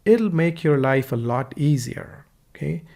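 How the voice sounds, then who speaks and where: A man with an Indian accent walks viewers through a software tutorial in a relaxed, instructive way. His voice sits a little high and has a gravelly edge. He speaks close to a USB desktop mic. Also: unhurried. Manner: casual, instructive, dictates punctuation aloud. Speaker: online course instructor. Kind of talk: screen-recorded tutorial. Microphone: USB desktop microphone.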